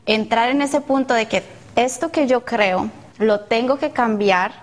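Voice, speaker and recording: A woman's voice: fairly high pitch, a little slow. A woman in her twenties from Colombia, a recent graduate, speaking earnestly and searching for words. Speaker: panelist.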